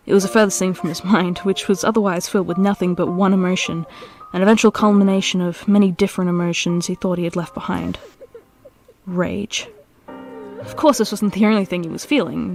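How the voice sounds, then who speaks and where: A woman's voice: fairly low pitch, darkish tone. A woman delivering scripted dramatic story narration as the narrator.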